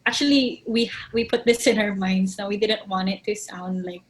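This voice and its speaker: A woman's voice: medium pitch, normal speed, rough recording. Woman, laughing often. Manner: mixes English with Tagalog, thinks aloud, laughs often. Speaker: band member and songwriter. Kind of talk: casual interview answers.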